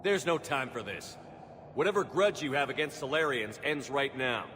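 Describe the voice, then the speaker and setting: An actor playing a hard-edged human military commander in a video game, terse, stern and impatient. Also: male voice, medium pitch, lively intonation, normal speed, background noise, lightly acted. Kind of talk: video game cutscene acting. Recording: music behind the voice.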